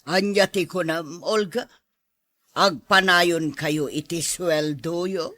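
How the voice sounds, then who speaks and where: A woman's voice: lowish voice, fairly even intonation, slow, sharp tone, dry recording. An actress playing a haughty, stingy middle-aged rich mistress and stern mother in a radio drama, scornful and imperious. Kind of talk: Ilocano radio drama acting.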